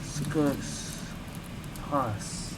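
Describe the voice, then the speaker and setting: A man's voice: medium pitch, extremely slow, very noisy. A man giving casual reactions as a gaming streamer while he plays. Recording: loud game music behind.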